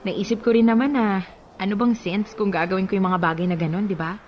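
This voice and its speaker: An actress playing a gentle, guarded young widow in a Filipino radio drama, with an emotional, heartfelt delivery. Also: female voice, mid-range voice, fairly even intonation, fairly quick, muffled recording, a little hiss or hum, lightly acted. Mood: wistful. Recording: music behind the voice.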